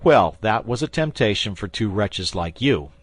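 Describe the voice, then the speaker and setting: A man's voice: lowish voice, lively intonation, moderate pace, husky, no echo. A man voicing a fierce young guardsman who questions and threatens a wounded enemy. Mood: wry. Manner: commanding, stern.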